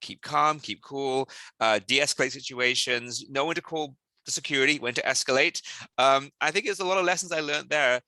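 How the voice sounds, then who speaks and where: A man from South Wales, a company CEO answering interview questions in quick, animated stories full of restarts. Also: mid-range voice, no echo.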